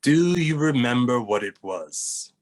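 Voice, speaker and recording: Casting: man as careful, formal young cartoon detective, medium-pitched, measured and earnest. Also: animated. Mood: polite. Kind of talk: animated comedy table read.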